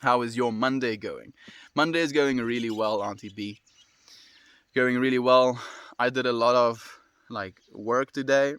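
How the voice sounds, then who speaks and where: A young man with a South African accent chats with his stream viewers, talking quickly and answering them in a friendly, easygoing way. His voice sits at an ordinary middle pitch. The sound is dry and close, with no room echo. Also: fairly bright tone, clean tone. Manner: fast-talking, friendly, reads and answers comments. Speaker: livestreamer. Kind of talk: casual chat with viewers.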